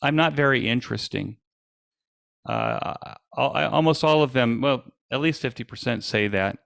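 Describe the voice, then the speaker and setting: A man with a gravelly voice answering questions informally as a presenter, explaining things with frequent hesitant pauses. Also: medium pitch, fairly bright tone, no echo, studio quality. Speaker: presenter and podcast host.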